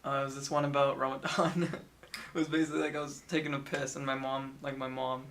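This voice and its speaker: Bright-voiced young man, joking. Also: medium pitch, little pitch movement, fast talker, a little hiss or hum. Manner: joking, swears a lot. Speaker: podcast co-host. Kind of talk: casual chat among friends.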